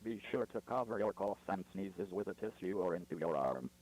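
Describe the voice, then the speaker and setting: A man's voice: low voice, expressive, fairly quick, a little mellow, slightly rough, rough recording. A man playing a stern, impersonal emergency alert announcer, giving formal scripted instructions. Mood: stern, official.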